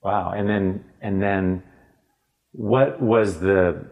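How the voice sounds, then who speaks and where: Deep-voiced man casually interviewing. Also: extremely slow, gravelly, phone-quality sound. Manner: encouraging, frequent affirming interjections. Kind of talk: casual chat.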